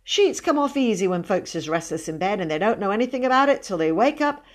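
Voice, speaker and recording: A woman's voice: medium pitch, animated, quick pace, natural voice. A woman voicing a talkative young boy in a storybook, earnest and unruffled, with colloquial grammar. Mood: earnest, rambling. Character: meek-looking, unflappable, talkative young boy.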